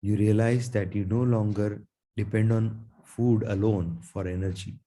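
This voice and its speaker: A man leading a guided meditation in a soothing, gentle manner, leaving long pauses between his phrases. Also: lowish voice, steady tone. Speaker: meditation guide, Reiki teacher.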